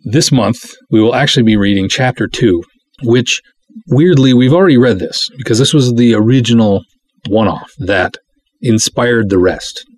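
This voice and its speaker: A man hosting a podcast solo in a casual, rambling, self-deprecating way, chatting and reading things aloud with a husky voice. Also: medium pitch, normal speed, darkish tone, no echo. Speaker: podcast host.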